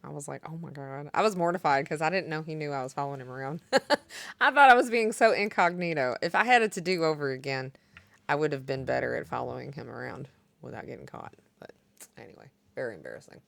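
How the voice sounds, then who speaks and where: A woman's voice: low-pitched, quick pace, creaky, a bit bright, no echo. A woman from Kentucky with a Kentucky accent, chatting candidly with stream viewers between songs and laughing often as she tells stories. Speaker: livestreaming singer-guitarist. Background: acoustic guitar.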